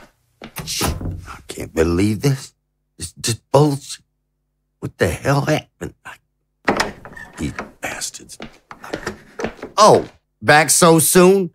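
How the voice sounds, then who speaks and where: An actor playing an anguished, indignant and naive president in an audio drama, with stammering, rhetorical speech. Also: male voice, mid-range voice, big pitch swings, drawn-out words, long pauses, a bit bright, full character voice. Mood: exasperated. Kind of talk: dramatic audio fiction acting.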